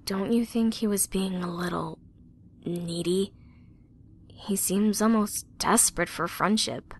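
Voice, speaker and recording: A woman playing an earnest, brooding young space cadet with a husky, breathy voice in an anime-style drama. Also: medium pitch, steady tone, slow, a little hiss or hum. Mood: uneasy. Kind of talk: sci-fi anime parody audio drama acting.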